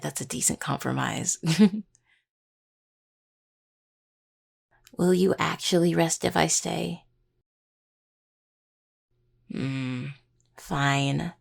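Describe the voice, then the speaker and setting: Husky, gravelly young necromancer woman, acted. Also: fairly low pitch, exaggerated voice. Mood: fond, teasing. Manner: halting, casual, teasing. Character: affectionate, playfully stubborn young necromancer healer, a devoted partner. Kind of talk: intimate roleplay audio, one-sided dialogue to listener.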